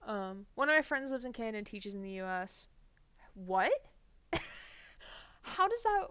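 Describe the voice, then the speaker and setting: A woman's voice: mid-range voice, big pitch swings, a little slow, a bit bright, clear, resonant tone, dry recording. A young woman from New Jersey, a streamer chatting casually and rambling while she games. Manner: chatty, rambling, many fillers. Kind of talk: casual chat while gaming.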